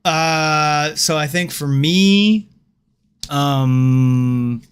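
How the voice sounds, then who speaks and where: A man hosting a podcast, trading jokes and quick agreements with his guests. His voice sits a little high for a man. He keeps the talk loose and casual. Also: clear, resonant tone.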